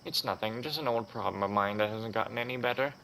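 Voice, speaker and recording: A man's voice: on the low side, fast, gravelly, fairly bright tone, a little hiss or hum, rough recording. A man voicing a folksy, modest old grandmother villager in a video game. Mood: modest, fond. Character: grateful, gently grumbling old grandmother villager.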